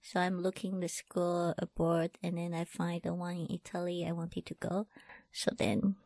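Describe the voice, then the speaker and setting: Taiwanese-accented woman, casual and halting. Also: on the low side, completely flat delivery, gravelly, a little mellow, no room sound. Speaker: interview guest, tattoo artist. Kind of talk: casual chat.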